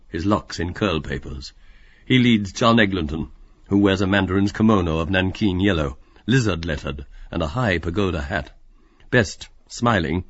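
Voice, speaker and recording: A man's voice: deep voice, no room sound. A man narrating an audiobook, reading stage directions as scripted narration. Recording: dry but slightly muffled audio.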